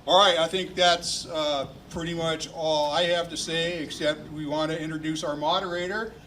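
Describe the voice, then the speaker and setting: A man's voice: fairly high pitch, steady tone, moderate pace, fairly bright tone. A man making announcements at a neighborhood forum, talking plainly and steadily with some fillers, in a community meeting room. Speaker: neighborhood liaison introducing a candidate forum. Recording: distant room recording, faint noise.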